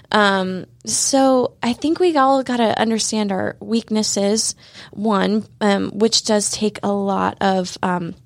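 A woman with a light voice talks things through thoughtfully as a studio guest, filling her pauses with "like" and "um". Her voice sits at an ordinary pitch for a woman and is recorded dry and close. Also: no room sound. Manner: reflective, many fillers like "like" and "um". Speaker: dog trainer, studio guest. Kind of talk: casual chat.

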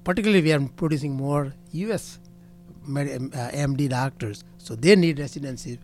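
Physician answering in short blunt statements. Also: male voice, medium pitch, a little fast, a little mellow, slight background noise. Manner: short, blunt statements, often opens with "see". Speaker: physician, medical association president. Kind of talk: interview answers.

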